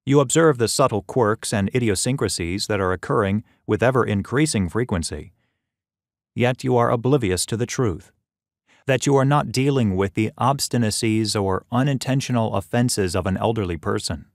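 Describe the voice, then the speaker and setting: A man reading scripted audiobook narration in a measured, expressive storytelling manner, close on the microphone. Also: fairly low pitch, no echo. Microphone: close studio microphone.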